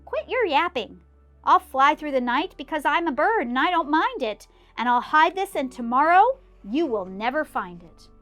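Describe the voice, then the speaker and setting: A woman's voice: a little high, very animated, a little slow, fairly bright tone, soft background music. A woman voicing a mighty male eagle who sneers dismissively. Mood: sneering.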